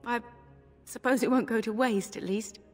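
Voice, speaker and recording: Game role: actress as young village woman, high voice, humble, earnest, hesitant, music underneath. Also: moderate pace, close to an everyday voice. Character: grateful, humble young village woman, caring older sister. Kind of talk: video game character dialogue. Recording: long reverb, music underneath.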